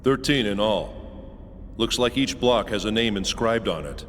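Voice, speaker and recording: A man voicing a calm, observant man in a sci-fi video game. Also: fairly low pitch, normal speed, in a large hall, a little hiss or hum. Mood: sober.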